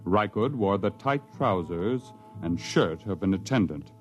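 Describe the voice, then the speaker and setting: A man narrating a science-fiction story in measured, scripted 1950s radio style. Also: deep voice, lively intonation, faint music behind.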